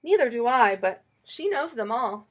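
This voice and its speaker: A woman reading a children's picture book aloud in an expressive, storytelling way. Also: on the high side, normal speed, husky. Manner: expressive, acts out quoted lines.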